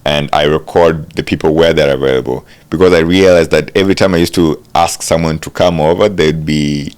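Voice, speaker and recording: A man in his thirties, a podcast guest, talking casually with frequent halting restarts. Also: rumbling low, fairly even intonation, darkish tone, smooth. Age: 30s. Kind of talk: casual chat.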